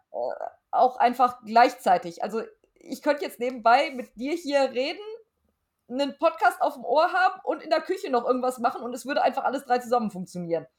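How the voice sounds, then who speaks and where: A woman's voice: on the high side, a little mellow. A middle-aged woman, chatting casually and frankly as a podcast guest, with emphatic wording. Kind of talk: casual chat.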